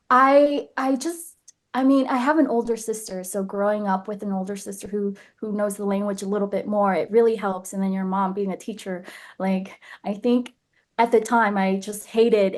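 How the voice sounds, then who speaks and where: Young woman guest, chatty, laughs a lot, trips over her words and restarts, mid-pitched voice. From born in Vietnam, grew up in Nashville, USA. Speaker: guest actress. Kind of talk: casual interview chat.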